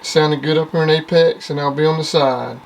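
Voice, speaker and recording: A man's voice: on the high side, steady tone, brisk, gravelly, low-quality recording. A middle-aged or older man, chatting casually and plainly in CB radio jargon. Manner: plainspoken, uses repeated CB jargon. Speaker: CB radio operator. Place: at a home CB base station. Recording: faint background noise.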